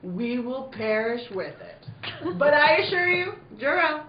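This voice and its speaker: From a little way off in a roomy space, a woman acts out a skeptical council leader for an old-time radio play. She is high, animated and theatrically formal, with an amused, condescending edge. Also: a little slow, slight background noise. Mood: scornful. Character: skeptical, dismissive leader of an alien governing council. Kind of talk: playful 1940s radio serial acting. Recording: roomy, distant, slightly muffled.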